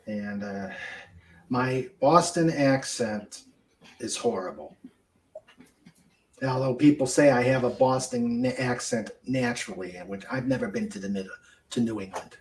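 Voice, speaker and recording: A man hosting a casual livestream chat, speaking haltingly with many fillers. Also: medium pitch, low-quality recording. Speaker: host.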